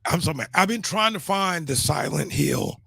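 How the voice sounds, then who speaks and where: A man's voice: fairly high pitch, lively intonation, moderate pace, creaky, pushed and bright, slightly rough, dry recording. A middle-aged man losing his voice, chatting casually as a show host and rambling through his stories with many restarts. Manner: rambling, frequent restarts and fillers. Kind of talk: casual chat.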